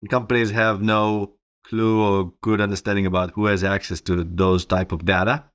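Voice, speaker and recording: A man's voice: on the low side, level tone, moderate pace. A middle-aged man with an Israeli accent giving informal, conversational answers as an interview guest. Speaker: interview guest, company co-founder.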